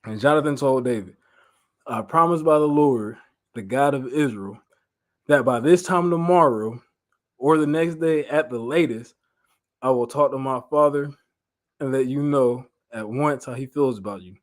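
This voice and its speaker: A man's voice: mid-range voice, normal speed. A man with an American accent giving an informal Bible lesson, mixing reading aloud with slangy, conversational retelling and repeating phrases for emphasis. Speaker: preacher.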